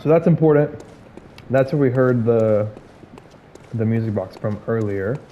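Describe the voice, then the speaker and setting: A man giving casual gameplay commentary, hoarse from illness, rambling with many fillers and restarts. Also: medium pitch, a little slow, narrow, tinny audio, a little hiss or hum.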